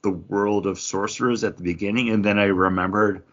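A man's voice: fairly low pitch, fairly even intonation, fairly quick, a bit bright. A man co-hosting a podcast, bantering and reviewing in a casual, conversational way. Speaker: podcast co-host. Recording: dull lo-fi sound.

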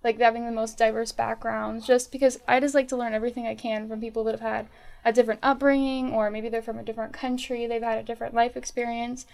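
Brief: young female student from northern virginia, fry-heavy, talkative and giggly. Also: fairly high pitch, level tone, fast talker, darkish tone. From Northern Virginia, United States. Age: young adult. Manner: chatty, laughs often, many fillers. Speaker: student guest. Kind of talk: casual interview chat.

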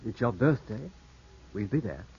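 An actor playing a cautious older family friend in a 1950s radio drama, husky, formal and avuncular. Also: male voice, lowish voice, very animated, normal speed, heavy background noise. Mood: fond, formal. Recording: dull 1950s radio broadcast.

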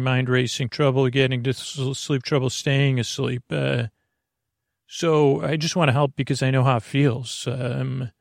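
A man with a noticeably creaky, gravelly voice hosts a sleep podcast. He wanders from tangent to tangent, halting and stammering as he goes. The sound is close and very dry, with hardly any room around it. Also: medium pitch, no echo. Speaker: podcast host. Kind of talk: rambling bedtime sleep podcast monologue.

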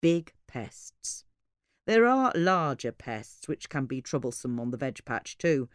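Female podcast reader, low register with lively intonation, scripted informative delivery, measured and articulate. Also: dry recording, clean studio sound. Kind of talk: scripted informative narration of gardening advice.

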